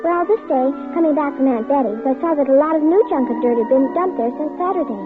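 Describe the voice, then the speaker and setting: A frightened, insistent little girl, as an actress voices her, tells her story in a very high voice. She pleads near tears. The sound is a thin, tinny old radio broadcast with music behind it. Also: fast talker, close to an everyday voice. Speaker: child narrator and lead. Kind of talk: 1950s radio drama acting.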